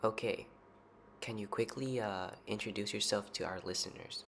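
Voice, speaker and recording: Gravelly low-voiced male podcast host. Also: moderate pace, fairly bright tone, a little hiss or hum. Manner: reads narration evenly, asks short questions. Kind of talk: scripted podcast narration and interview questions. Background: music playing underneath.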